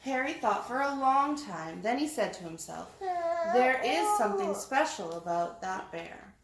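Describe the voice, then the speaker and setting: A woman reading a children's storybook aloud with lively, dramatic expression. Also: mid-range voice, measured pace. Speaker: read-aloud reader. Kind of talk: animated children's storybook narration. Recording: roomy echo, faint background noise.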